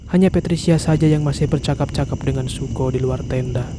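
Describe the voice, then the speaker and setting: A man's voice: medium pitch, fairly even intonation, fairly quick, over music. A man narrating a horror story in a scripted storytelling style.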